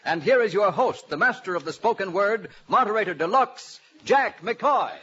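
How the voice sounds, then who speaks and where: A male announcer pitches his voice high to present a vintage radio show. The delivery is a grand, scripted introduction. The old recording sounds muffled. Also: animated, normal speed, very noisy.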